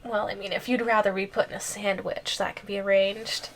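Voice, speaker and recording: A woman's voice: mid-range voice, little pitch movement, slight background noise, close to an everyday voice. A woman playing a possessive yandere giantess girlfriend in an ASMR roleplay, sweetly menacing and playfully teasing. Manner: slow, playful teasing with frequent giggles. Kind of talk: ASMR roleplay audio drama.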